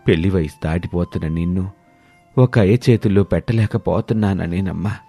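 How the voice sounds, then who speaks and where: A gentle ageing village father, played by a man in a storybook tale. His voice is dark and mellow at a low-normal male register, humble and patient, over music. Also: a little fast. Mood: concerned, gentle. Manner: soft, patient, pleading.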